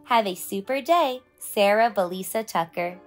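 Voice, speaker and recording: A woman's voice: mid-range voice, moderate pace. A woman narrating a children's storybook as host of a read-aloud. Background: faint music.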